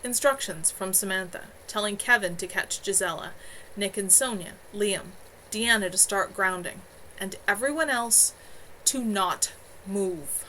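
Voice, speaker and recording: A woman's voice: medium pitch, a little slow, pushed and bright, a little hiss or hum, rough recording. A woman author reading her own novel aloud live from home, earnest, with a few small stumbles.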